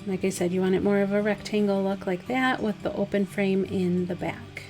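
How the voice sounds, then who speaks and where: Woman, slightly hoarse, dry voice, relaxed and chatty, craft tutorial voice-over, mid pitch, soft music behind. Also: normal speed. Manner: relaxed, chatty, step-by-step instructions with fillers. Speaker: craft vlogger. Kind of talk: casual DIY tutorial voice-over.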